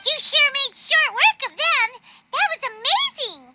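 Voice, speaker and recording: A woman voicing a small, excitable fairy companion girl in a video game, with a chirpy, exclamatory delivery. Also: very high-pitched, measured pace, heavy background noise, heavily acted. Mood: impressed. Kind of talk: video game character acting.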